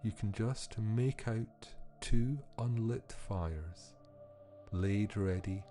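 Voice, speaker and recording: A man reading scripted guided-meditation narration in a soothing, unhurried manner with measured pauses. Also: on the low side, mellow, soft background music. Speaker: podcast host and meditation guide.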